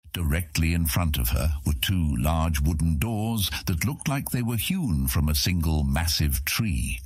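A man's voice: rumbling low, slightly rough, no room sound. A man reading a fantasy audiobook as its scripted narrator.

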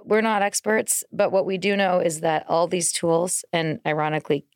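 A woman's voice: lowish voice, steady tone, normal speed, dry recording, clean studio sound. A woman co-hosting a casual podcast conversation, explaining things through personal anecdotes. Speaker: podcast co-host.

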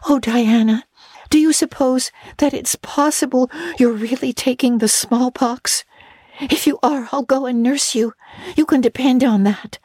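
A woman's voice: high voice, unhurried, a little mellow, no room sound, voice pushed up. A woman voicing an imaginative, earnest girl who talks breathlessly and theatrically with stammering restarts. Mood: pleading.

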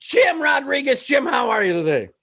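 A man hosting a podcast, reading a comic sports news update in punchy, deadpan bursts with sudden shouts. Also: very high voice, moderate pace, vocal fry. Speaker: podcast host.

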